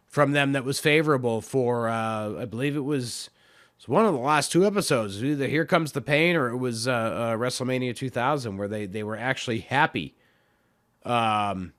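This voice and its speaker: Hoarse man rambling on a podcast. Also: mid-range voice, a bit bright. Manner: rambling, filler-heavy, self-deprecating. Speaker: podcast host. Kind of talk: casual solo podcast talk.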